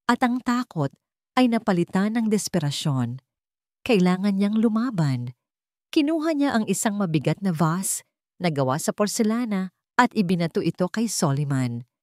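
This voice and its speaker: A Filipino woman reads a dramatic story with measured suspense. Her voice sits fairly low for a woman, and the recording is dry, with very little room sound. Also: darkish tone, no echo. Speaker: audiobook narrator. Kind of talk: scripted dramatic story narration.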